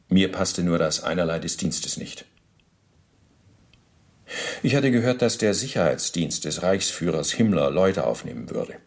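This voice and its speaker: An actor playing a former SS officer under interrogation in a radio drama, recounting in a precise, bureaucratic, self-justifying way. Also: male voice, low voice, darkish tone.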